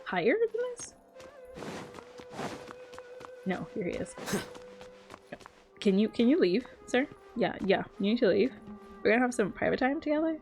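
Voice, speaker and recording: Game streamer, young woman, fairly high pitch, informal and playful reactions over faint game music. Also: sing-song, measured pace, long pauses, a little mellow. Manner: playful, giggly, slangy. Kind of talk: casual live reaction commentary.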